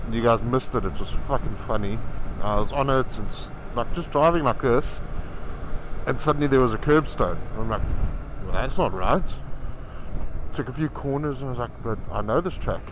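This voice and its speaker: A man riding a motorcycle outdoors, vlogging in a chatty, profane, self-mocking way through a helmet camera. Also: mid-range voice. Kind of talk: casual rambling travel vlog. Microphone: helmet-mounted camera. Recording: loud wind and engine noise.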